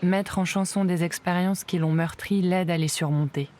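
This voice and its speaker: A woman narrating a documentary in a calm, even, scripted way. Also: fairly low pitch, normal speed, a little hiss or hum.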